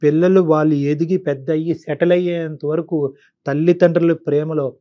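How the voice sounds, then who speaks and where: A man's voice: on the high side, steady tone, brisk, muffled recording. A man counselling on a call-in show, explaining his advice point by point and often repeating himself. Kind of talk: advisory talk to caller and co-host.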